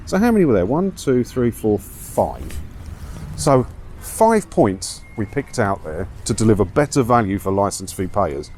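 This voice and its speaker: A man's voice: fairly low pitch, very animated, measured pace, a little mellow. An English man chatting casually and giving his opinions as a video blogger, full of rhetorical questions, talking as he walks outdoors. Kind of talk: casual walk-and-talk commentary on news stories. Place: outdoors, walking around a seaside town. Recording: outdoor street noise, traffic.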